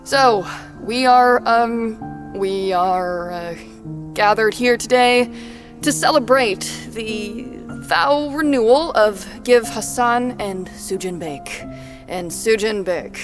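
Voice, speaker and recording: Slow, awkward, flippant officiant. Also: female voice, fairly high pitch. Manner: rambling, deadpan, throat-clearing hesitancy. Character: awkward, flippant woman officiating a vow renewal. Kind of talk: audio drama acting. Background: prominent music.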